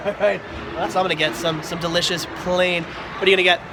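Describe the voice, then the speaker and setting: A man's voice: high-pitched, steady tone, fast talker. A man in his thirties with a cold, sounding congested and nasal, rambling cheerfully in a casual vlog at a busy mall arcade. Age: 30s. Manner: rambling, upbeat, repeats words. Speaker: vlogger. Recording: loud crowd and noise behind.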